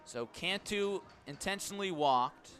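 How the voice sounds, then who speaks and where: A man calling a baseball game live on radio as play-by-play announcer, measured between pitches and lifting his voice on the action. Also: faint music behind. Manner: measured narration rising to excited shouts on key plays. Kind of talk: live sports commentary.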